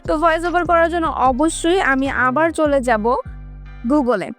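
A woman's voice: high-pitched, normal speed, a bit bright, soft background music. A woman giving a casual how-to tutorial, talking directly to her viewers step by step. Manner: instructional, addressing viewers directly. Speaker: tutorial host.

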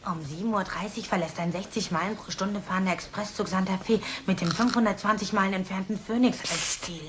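A woman's voice: mid-range voice, brisk, noisy background, lightly acted. A woman voicing a bratty, smart-alecky cartoon schoolboy in an audio drama. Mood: muttering.

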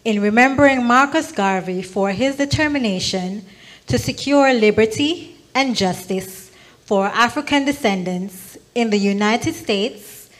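Slow, formal woman reading a proclamation. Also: a little high, rough recording. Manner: measured, deliberate phrasing. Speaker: legislative aide reading a proclamation. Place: event hall stage at a podium. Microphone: podium microphone.

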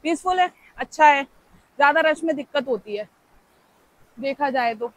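A woman's voice: high-pitched, animated, normal speed, no echo, poor audio. A young woman vlogging casually as she hikes outdoors on a forest trail, talking into a handheld phone camera in a chatty, playful way and exclaiming as she goes. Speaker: travel vlogger. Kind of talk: casual vlog walking commentary.

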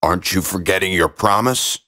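A man voicing a polite but insistent warty frog in a storybook, croaking and speaking in a formal, measured way. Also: sits low, pushed and bright, voice pushed down. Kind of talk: storybook character voice.

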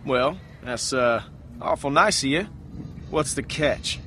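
An actor playing a cautious, level-headed young survivor in a video game. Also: male voice, mid-range voice, lively intonation, slow pace, bright, forceful tone, slight background noise. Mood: guarded. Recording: game dialogue over music.

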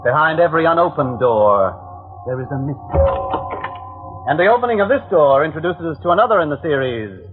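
An actor playing a dramatic, emphatic announcer introducing an old-time radio mystery series. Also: male voice, on the high side, big pitch swings, fairly quick. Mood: mysterious, theatrical. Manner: theatrical, emphatic. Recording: tinny old radio recording with loud music.

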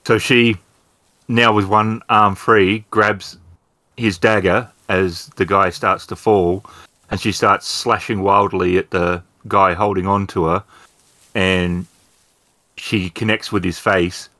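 A man's voice: low voice, level tone, measured pace, pushed and bright, no room sound. A man improvising the action of a tabletop role-playing game as narration.

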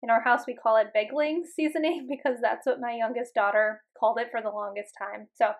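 Relaxed, chatty woman with a higher voice. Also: a little fast, vocal fry. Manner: relaxed and chatty, with frequent ums and uhs. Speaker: home cooking vlogger. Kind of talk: casual voice-over narration. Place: home kitchen voice-over.

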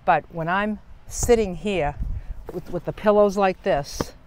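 A woman's voice: fairly low pitch, a little slow, a little mellow, a little hiss or hum, low-quality recording. An older woman giving a casual, chatty how-to tour as a vlogger, explaining things as she works with her hands. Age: older, senior. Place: outdoors at the open side door of a camper van. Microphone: small clip-on lavalier mic.

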